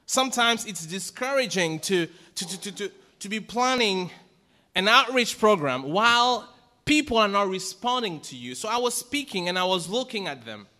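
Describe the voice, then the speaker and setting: A man's voice: high-pitched, animated, moderate pace, sharp tone. A middle-aged man preaching a sermon from a pulpit microphone in a church, asking the congregation questions and rising to emphatic peaks. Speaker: pastor. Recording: long church hall reverb.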